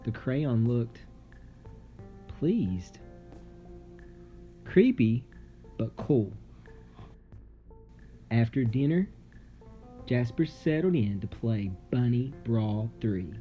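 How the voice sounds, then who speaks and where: A man reading a children's story aloud dramatically, with suspenseful, theatrical delivery and deliberate pauses. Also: mid-range voice, lively intonation, lo-fi sound. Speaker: storybook narrator. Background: music.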